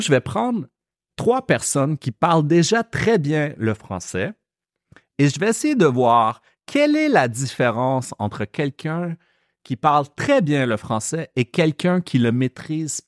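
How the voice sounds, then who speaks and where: A man with a Quebec French accent hosting a podcast lesson, explaining slowly and carefully. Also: medium pitch, big pitch swings, dry recording, clean studio sound. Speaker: French teacher and podcast host.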